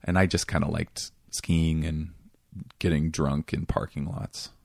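A man from Seattle, a comedian guest in casual interview chat, talking in a rambling, self-deprecating way with a voice that is getting raspy. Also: a bass voice, steady tone, unhurried, a little mellow, no echo, clean studio sound. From Seattle area, USA.

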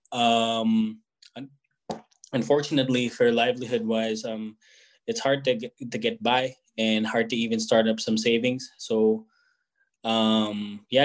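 A young man speaks as an interview guest. He is thoughtful and unhurried in his answers. He often pauses with fillers and starts his sentences over. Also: mid-range voice. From Philippines. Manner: reflective, hesitant, many fillers and restarts. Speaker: guest musician.

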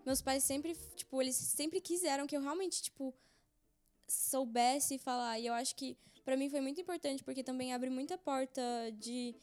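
A young woman chats in a relaxed, slangy way. Her voice is high and easygoing. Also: moderate pace, smooth. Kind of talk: casual chat.

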